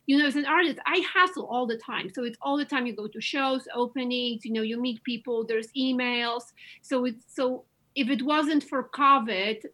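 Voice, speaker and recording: A woman's voice: on the high side, normal speed, a bit bright. A woman from Poland with a Polish accent, a painter talking as an interview guest, enthusiastic and gushing, often restarting her sentences. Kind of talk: casual interview chat.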